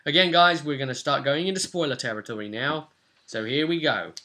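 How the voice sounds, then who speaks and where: Game master, young man, British, bright voice, casual tabletop session recap. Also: fairly high pitch, fairly quick. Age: young adult. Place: small cluttered room at home.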